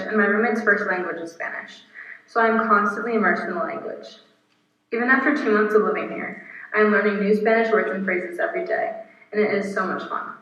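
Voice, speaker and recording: A woman in her twenties, a guest speaker reading a prepared speech at an earnest, steady pace, recorded on video in her apartment. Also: medium pitch. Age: 20s. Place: apartment room. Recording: echoey, distant, muffled video recording.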